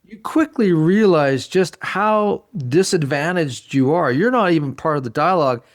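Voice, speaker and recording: Higher-pitched man chatting on a podcast. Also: expressive, normal speed. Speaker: podcast host. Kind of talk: casual conversational podcast chat.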